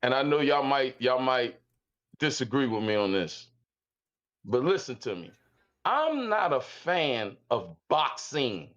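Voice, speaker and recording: A man's voice: medium pitch, very animated, measured pace, a bit bright. A man, calling in and talking bluntly in slang, repeating himself. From South Philadelphia. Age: 50s. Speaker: caller. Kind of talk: call-in chat. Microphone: Discord call. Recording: muffled call audio.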